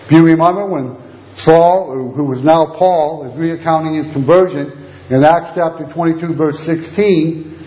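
A man's voice: fairly high pitch, a little slow, dark tone. A man preaching a sermon while losing his voice, teaching steadily and reading scripture aloud. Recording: echoey lo-fi room recording.

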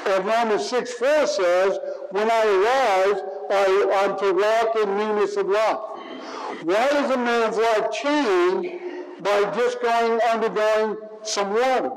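A man preaching a sermon slowly and deliberately, stretching key words for emphasis. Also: high voice, a little hiss or hum, low-quality recording.

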